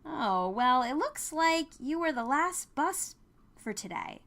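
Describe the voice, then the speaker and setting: A woman's voice: high voice, sing-song, slow, fairly bright tone, pitched above the performer's own voice, full character voice. A woman doing a helpful small-town male clerk. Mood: apologetic. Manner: casual, helpful, matter-of-fact. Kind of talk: dramatized audiobook character dialogue.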